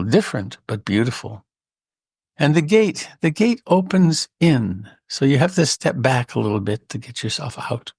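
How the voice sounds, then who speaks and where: A man leading a guided meditation in a gentle, unhurried way with long pauses and a creaky voice. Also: on the low side, no room sound, studio quality. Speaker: meditation teacher.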